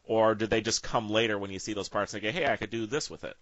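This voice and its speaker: A man interviews casually in a lowish, bright and forceful voice. The recording is close and dry, with slightly dulled sound. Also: fast, no room sound, highs cut off.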